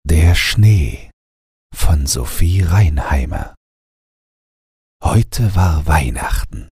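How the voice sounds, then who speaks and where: A man reading a bedtime story as an audiobook narrator, in a gentle, measured manner. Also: a bass voice, husky, no room sound, studio quality.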